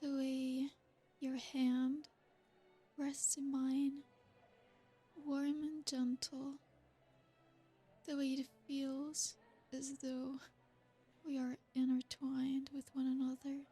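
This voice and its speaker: A high, breathy vampire noblewoman played by a woman purrs slowly in an intimate ASMR roleplay. Her pitch stays narrow and even, with whispered asides slipped in. Faint music drifts underneath. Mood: seductive. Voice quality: breathy, soft-spoken. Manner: slow, seductive, drawn-out with whispered asides. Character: ancient possessive female royal vampire host. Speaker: ASMR creator.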